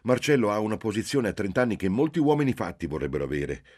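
A man's voice: on the low side, animated, quick pace, a little mellow, pitched above the performer's own voice. A man reading a novel aloud, voicing a doting middle-aged mother who gushes with emphasis. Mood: gushing. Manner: gushing, emphatic.

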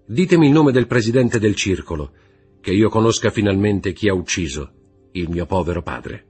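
A man's voice: fairly low pitch, lively intonation, normal speed, darkish tone, breathy edge, faint music behind. A man voicing a desperate young nobleman who pleads and cries out to learn who killed his father. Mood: pleading. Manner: pleading, rising to anguished cries.

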